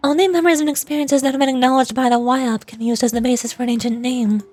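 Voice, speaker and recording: A woman voicing a frustrated, matter-of-fact craftswoman from a fantasy game, explaining things in a measured, exasperated way. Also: high-pitched, fairly even intonation, darkish tone, faint music behind. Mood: resigned, annoyed. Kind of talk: video game dialogue read aloud.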